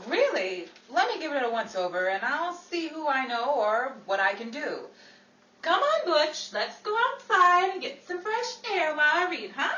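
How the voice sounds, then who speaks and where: A woman playing a witty, exasperated Hollywood actress in a 1940s-style radio comedy, theatrical and quick with dry comebacks. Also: high-pitched, sing-song, pushed and bright, lo-fi sound, heavily acted. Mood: lively, matter-of-fact. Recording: roomy, distant, noisy, crowd sound behind.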